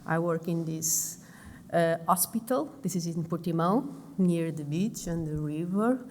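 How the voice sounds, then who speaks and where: A middle-aged Portuguese woman, a lung and intensive care doctor, giving a conference talk in English with a Portuguese accent, halting and restarting her words often. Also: on the low side, darkish tone. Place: large conference hall. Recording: long hall reverb.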